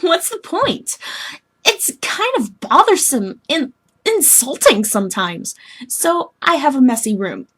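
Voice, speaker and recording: A woman voicing a moody, self-pitying young alien woman in scripted dialogue, blunt, slangy and excitable. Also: high-pitched, takes it slowly, voice pushed up, full character voice. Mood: defensive, annoyed.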